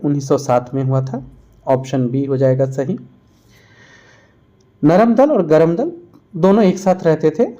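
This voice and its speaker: A man's voice: fairly high pitch, warm tone, dull audio. A man narrating a quiz-style lesson as a teacher, explaining and repeating answers for emphasis. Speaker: exam-prep history teacher.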